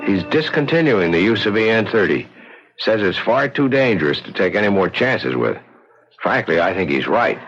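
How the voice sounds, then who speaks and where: An actor playing a calm, sympathetic, practical confidant in a 1970s radio drama. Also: male voice, fairly low pitch, moderate pace, breathy edge, faint music behind, exaggerated voice. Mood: sympathetic, frank. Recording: dull 1970s radio recording.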